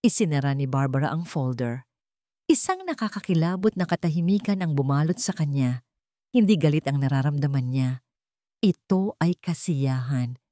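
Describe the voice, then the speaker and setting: Female Filipino storyteller: lower register, expressive swings, dramatic scripted delivery. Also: normal speed, dry recording, clean studio sound. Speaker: narrator.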